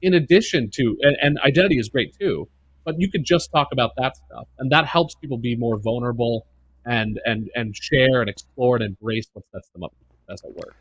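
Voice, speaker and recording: A man's voice: medium pitch, brisk, dry recording, lo-fi sound. A man with an American accent, a podcast guest speaking earnestly about the workplace, with plenty of fillers and restarts. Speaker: podcast guest, neurodiversity advocate. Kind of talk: casual interview conversation.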